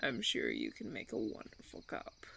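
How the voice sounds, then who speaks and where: A man playing a suave, condescending vampire colony leader in a roleplay audio drama, theatrical and teasing, with drawn-out phrasing. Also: lowish voice, wildly swooping pitch, vocal fry, pushed and bright, breathy edge, full character voice. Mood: playfully mocking.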